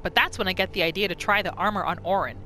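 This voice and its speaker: Woman reading as a bright-voiced, callous bandit. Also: lowish voice, fast, a little hiss or hum. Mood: menacing, distrustful. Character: rough, callous bandit writing a grudging account of betrayal. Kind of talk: in-character reading of a game journal.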